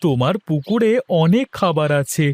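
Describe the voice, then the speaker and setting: A man voicing a friendly, brisk village fish trader in a children's cartoon. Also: fairly high pitch, expressive, a little mellow.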